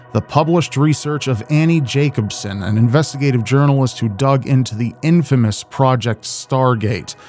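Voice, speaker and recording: A man with a medium-pitched voice hosts a reaction video. His delivery is informal and jokey, rambling like casual commentary, with light music in the background. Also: a little mellow.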